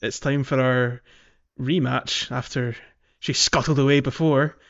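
A man talks casually as a gaming podcast host. His voice sits at a middling pitch. The recording is a little dull and lo-fi, taking the edge off his words. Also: steady tone, a little slow, fairly bright tone.

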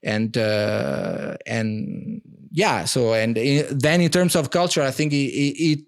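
A man's voice: mid-range voice, slow, gravelly. A man in his thirties with an Italian accent, a native Italian speaker answering interview questions in a hesitant, earnest way with many restarts. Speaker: podcast guest.

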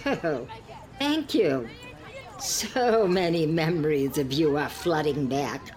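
A woman's voice: low voice, lively intonation, takes it slowly, a little mellow. A woman playing a genteel, judgmental elderly friend in an audio play, prim and sighing, with politely pointed barbs. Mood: sweetly barbed. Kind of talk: scripted two-hander audio comedy-drama.

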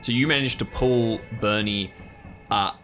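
A man's voice: lowish voice, unhurried, fairly bright tone. A man narrating an improvised tabletop audio drama as game master. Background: music.

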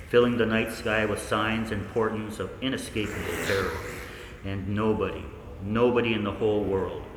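A man playing a haunted researcher looking back, narrating a 1940s radio play in an intimate, deliberate, ominous way. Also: on the low side, lightly acted. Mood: haunted, dread. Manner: intimate, deliberate, knowing, ominous direct address. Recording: echoey hall, long reverb, faint noise.

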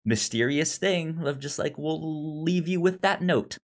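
A man co-hosting a film podcast, talking casually and repeating words as he works out his thoughts. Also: on the high side. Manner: halting, repeats words while thinking. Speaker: podcast co-host reviewing films. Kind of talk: casual conversational film discussion.

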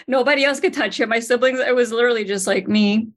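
A woman from the United States chatting as a podcast co-host, telling stories quickly with frequent fillers. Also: on the high side. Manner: rapid, animated storytelling with many fillers and laughs. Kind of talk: casual chat.

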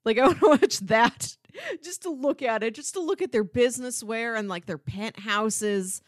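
A woman's voice: on the high side, moderate pace, no echo, clean studio sound. A young adult woman chatting casually as a podcast co-host. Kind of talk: casual chat about television.

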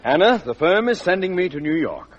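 An actor playing a brisk, stubborn middle-aged father in a 1940s radio drama. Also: sits high, sing-song, slight background noise. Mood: firm. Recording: dull old radio recording.

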